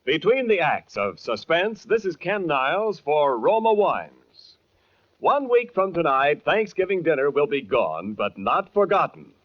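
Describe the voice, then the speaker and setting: A man's voice: on the high side, very animated, unhurried. An American man reading a scripted 1940s radio advertisement as an announcer, in a polished, formal, emphatic manner. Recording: dull vintage 1940s radio recording.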